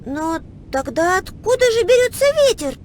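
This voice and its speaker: A woman voicing a curious, excitable little girl who wonders aloud expressively in a children's educational cartoon. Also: very high voice, normal speed, slight background noise.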